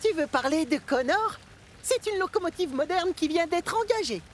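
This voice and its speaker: Very high, earnest boy engine. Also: lively intonation, moderate pace, a little hiss or hum. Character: friendly, loyal young male steam engine. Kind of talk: French-dubbed children's animation acting.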